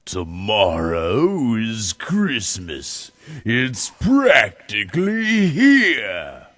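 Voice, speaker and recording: Man acting out a sour storybook creature, snarling, sneering, slow, widely swooping pitch. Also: wildly swooping pitch, a bit bright, dry recording, full character voice. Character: sour, snarling, Christmas-hating male grouch creature. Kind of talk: dramatic storybook reading.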